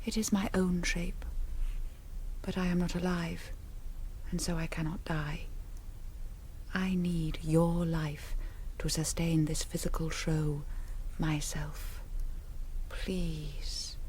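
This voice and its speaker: Slow, breathy, low-voiced vampire countess. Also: female voice, level tone, a little mellow, a little hiss or hum. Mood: pleading, mournful. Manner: slow, breathy, half-whispered theatrical delivery. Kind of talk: 1970s radio drama acting.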